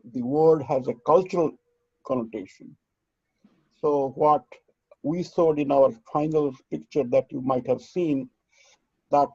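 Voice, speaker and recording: Man moderating a seminar: gravelly, husky, mellow, lecturing with restarts; lo-fi call audio. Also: mid-range voice, no echo. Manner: earnest, lecturing, halting restarts. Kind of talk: online seminar discussion. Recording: dull lo-fi video call audio.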